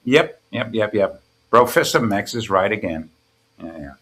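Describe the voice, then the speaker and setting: A man's voice: fairly low pitch, expressive, measured pace, a bit bright, husky. A man hosting a livestream with casual chat, hesitant and often repeating his words. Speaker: livestream host.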